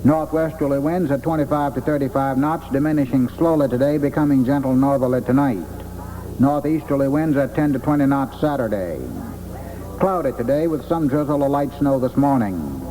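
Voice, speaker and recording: A man's voice: mid-range voice, moderate pace, warm tone, poor audio. An American man reading a scripted weather forecast for an old radio broadcast. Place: airport weather office. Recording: old radio relay with faint noise.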